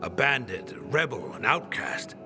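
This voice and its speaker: A man playing a fervent, flattering older man in a video game, with theatrical, emphatic delivery. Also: medium pitch, moderate pace, over music, close to an everyday voice.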